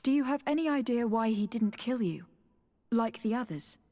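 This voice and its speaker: An actress playing a protective, level-headed young noblewoman adventurer in a fantasy game, with a breathy voice and earnest, direct delivery. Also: on the high side, expressive, normal speed, dark tone, lightly acted. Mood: concerned, questioning. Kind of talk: dramatic fantasy game acting.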